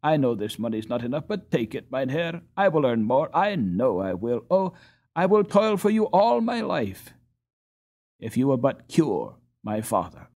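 A man's voice: high voice, very animated, moderate pace, few pauses, voice pushed up. A man voicing an earnest, proud young boy who pleads in a breathless, fervent way in a storybook. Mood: desperate pleading.

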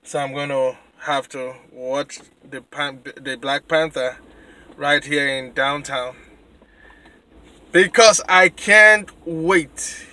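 A man in his thirties with a Nigerian accent, vlogging excitedly from his car at night into a handheld camera. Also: a little high, slow pace. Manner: excited, chatty. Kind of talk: casual vlog. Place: car at night, then city street. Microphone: handheld camera at arm's length.

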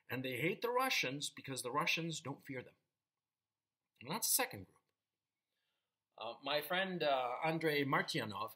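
A man in his fifties from Chile, talking casually and emphatically to camera in a small room at home through a webcam mic. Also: on the high side. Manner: animated, gesturing with hands, emphatic. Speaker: vlogger. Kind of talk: casual opinion monologue to camera.

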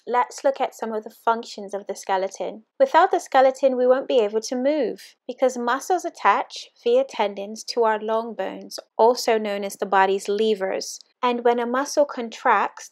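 A woman instructor narrating a scripted anatomy lesson over slides, in a clear, steady, explanatory way. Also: fairly high pitch. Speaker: fitness course instructor. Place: voice-over, not the pictured slides.

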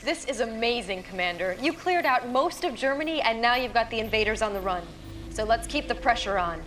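A woman playing a confident, hawkish military intelligence officer, giving brisk, rousing game briefings. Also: on the high side, expressive, a bit bright, soft background music, low-quality recording. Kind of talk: dramatic game cutscene briefing. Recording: clear ambient noise behind voice.

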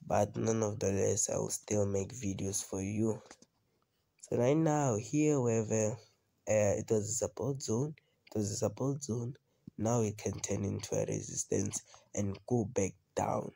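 A man with a cold talks through a phone screen recording in a casual tutorial. His voice is gravelly and husky, with a dark, mellow tone. He keeps repeating phrases and checking "you understand?". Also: lowish voice, steady tone, a little slow. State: has a cold. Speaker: trading video host.

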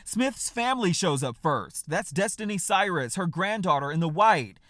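A man in his thirties presenting a scripted news report from a home office, with a brisk, emphatic delivery. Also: sits high, lively intonation, very noisy. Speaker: news host.

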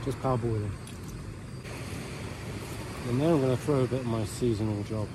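A man's voice: mid-range voice, moderate pace. A man, chatting casually and in an offhand way as a fishing and cooking vlogger. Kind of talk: casual chat to camera. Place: open shingle beach beside a shelter. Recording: noisy outdoor ambience, wind and waves.

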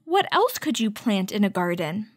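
A woman hosting a children's story time, reading a picture book aloud and teaching with clear, instructive delivery. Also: mid-range voice, big pitch swings, normal speed, no echo, clean studio sound. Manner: clear, instructive, addressing young children with questions.

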